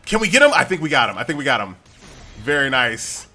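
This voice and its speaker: A man's voice: medium pitch, big pitch swings, fast, bright, forceful tone. An American man giving casual, chatty gameplay commentary in an informal, conversational way. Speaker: gaming YouTuber.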